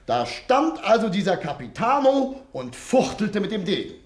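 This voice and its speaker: A blustery police chief in a radio play. His colloquial report is pitched high and swoops dramatically, in a roomy echo. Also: sing-song, moderate pace. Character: plain-spoken, blustery male chief constable. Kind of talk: German radio drama acting.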